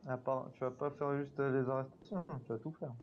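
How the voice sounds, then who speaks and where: A man's voice: medium pitch, steady tone, a little fast. A man casually role-playing a police instructor online, giving colloquial directions over game voice chat. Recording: dull lo-fi game voice chat, noisy.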